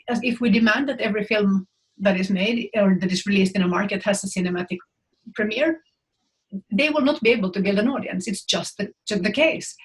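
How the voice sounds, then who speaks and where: A woman's voice: mid-range voice, rough recording. A woman in her forties from Finland, a film industry guest speaking frankly on a video call from a room at home, often restarting and repeating words. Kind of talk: panel talk on a video call. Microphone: laptop mic on a video call.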